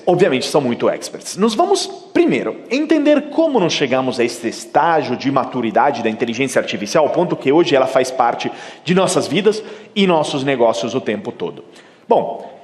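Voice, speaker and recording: Italian man giving a lively keynote. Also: a little high. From Italy. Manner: animated storytelling, acting out quoted dialogue. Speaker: keynote speaker. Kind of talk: conference keynote. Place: large conference hall. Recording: long hall reverb.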